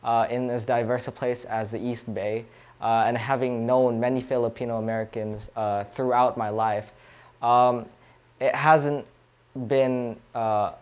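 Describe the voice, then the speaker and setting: A man's voice: medium pitch, little pitch movement, measured pace, smooth. A young man from California, a student choosing his words carefully with frequent "uh". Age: young adult. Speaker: student interviewee. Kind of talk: unscripted reflective answers.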